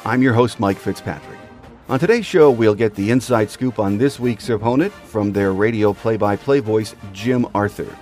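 A man's voice: on the low side, moderate pace, a little mellow, soft background music. A man hosting a sports podcast with scripted commentary and guest introductions.

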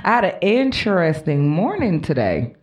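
A woman's voice: on the low side, moderate pace, muffled recording. A woman co-hosting a podcast, chatting casually and telling a story with lots of laughter and playful teasing. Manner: animated, laughs often, playful. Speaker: podcast co-host.

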